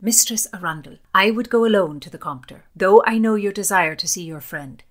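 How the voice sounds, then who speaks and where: A woman voicing a reserved, authoritative English court lady in a period audiobook, formal and measured. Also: medium pitch, voice pushed up, lightly acted. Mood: firm.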